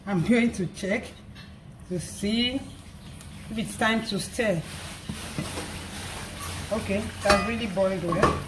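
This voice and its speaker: Hoarse female cooking host talking through her steps; kitchen clatter and sizzling behind her. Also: medium pitch, slow pace, pauses often. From Nigeria. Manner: relaxed, explains each step while cooking. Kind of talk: casual cooking narration. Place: home kitchen. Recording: noisy kitchen. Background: pots clattering and food frying.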